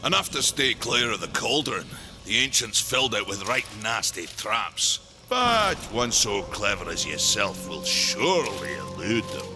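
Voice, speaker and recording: An actor playing a gruff, proud old warrior of a fantasy maker race in a video game, wry and challenging. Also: male voice, on the low side, very animated, a little slow. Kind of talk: dramatic fantasy game acting. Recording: loud music behind the voice.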